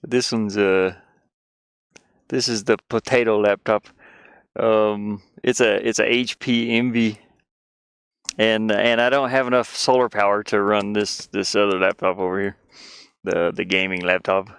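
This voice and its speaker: A man chatting casually on a game livestream, rambling and thinking aloud in a halting way. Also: lowish voice, little pitch movement, a bit bright, clean tone, no room sound. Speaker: game streamer and indie game developer.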